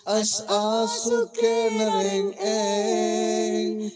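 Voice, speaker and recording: A man with an Ilocano accent chatting casually in a halting, jokey, rambling way. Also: high-pitched, very animated, over loud music. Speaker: radio drama actor and host. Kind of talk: casual chat with bursts of singing.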